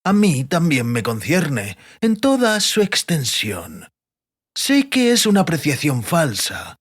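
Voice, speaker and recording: Male narrator as insinuating male stranger, falsely courteous, slow delivery, raised lively pitch, audiobook character. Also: slightly rough, full character voice. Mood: sly, polite. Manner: slow, drawn-out, deliberately polite.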